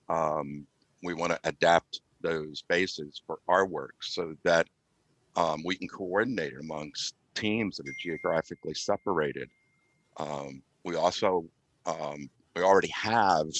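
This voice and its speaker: A man's voice: deep voice, fairly bright tone, no room sound. A man explaining things as a guest in a podcast interview, talking over a video call. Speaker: podcast guest, community radio IT volunteer. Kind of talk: interview answers, explanatory chat. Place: remote call with virtual background.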